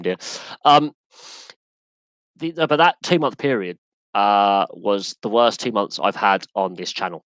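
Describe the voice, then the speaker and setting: A man with an English accent hosting a live sports podcast Q&A, talking in an animated, emphatic way that often restarts and repeats itself. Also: fairly low pitch, no room sound, low-quality recording. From England. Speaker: podcast host. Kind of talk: live Q&A rant.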